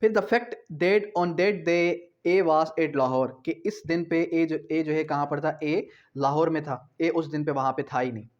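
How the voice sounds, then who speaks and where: A man with a Pakistani accent lecturing as a law teacher, didactic, repeating key phrases, asking check questions and slowing down for English terms. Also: on the high side. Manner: switches between Urdu and slowly read English legal phrases. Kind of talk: classroom lecture for exam preparation. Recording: dull, lo-fi lecture recording.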